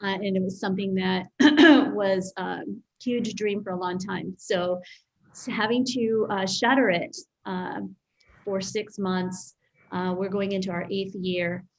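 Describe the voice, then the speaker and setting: A woman who founded a theater, speaking haltingly with repeated words and easy laughs in a video-call interview. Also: mid-range voice, steady tone. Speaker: theater founder and artistic director.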